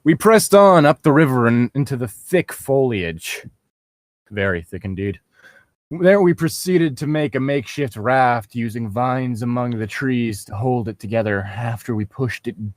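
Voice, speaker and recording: A man with a sardonic, deadpan manner, reading a story aloud and bantering as co-host. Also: medium pitch, a little slow, dry recording.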